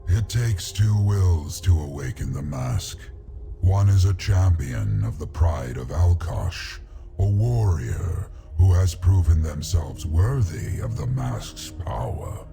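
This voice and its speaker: Fantasy game dragon, male, ancient and grave: very low, slow, portentous delivery over music. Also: very animated, creaky, warm tone, full character voice. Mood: regretful, grave. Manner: slow, portentous, archaic phrasing. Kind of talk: fantasy video game acting.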